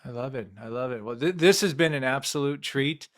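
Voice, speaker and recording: A man's voice: mid-range voice, fairly quick. A man hosting a podcast, asking questions and affirming his guest in a casual interview. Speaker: podcast host.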